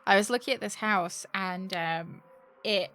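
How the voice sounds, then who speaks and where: A British woman with a bright, forward voice chats casually as she streams a game. She is jokey and sarcastic, swears freely, and keeps her pitch in a middle range. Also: unhurried. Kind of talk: casual livestream chat while playing a game.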